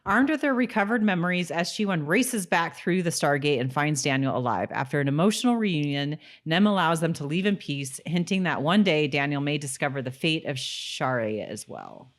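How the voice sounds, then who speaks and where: A woman with a slightly low voice speaks as a podcast co-host. Her style is casual and conversational, like easy talk about a TV show. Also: expressive, moderate pace, few pauses. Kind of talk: casual chat with some reading aloud.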